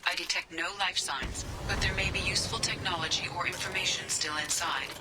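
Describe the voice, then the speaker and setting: Actress as game ship computer, woman, polite, formal, lowish pitch, loud music behind. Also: steady tone, a little fast, natural voice. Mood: composed. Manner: precise, formal. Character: calm, polite female ship artificial intelligence. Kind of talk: video game voice acting.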